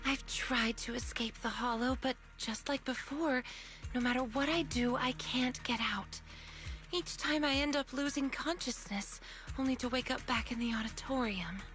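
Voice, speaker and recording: A gentle young woman in a story-driven game. Her voice sits a little high and comes out soft, earnest and measured. She sounds apologetic and sincere, with faint music underneath. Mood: troubled. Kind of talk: video game story voice acting.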